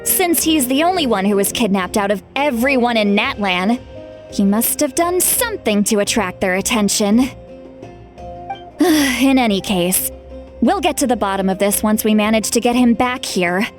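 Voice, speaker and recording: A fairly high young woman's voice for a video game character who takes charge. She is measured, earnest and decisive, a responsible guardian type, with music behind her. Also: lively intonation. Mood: earnest, concerned.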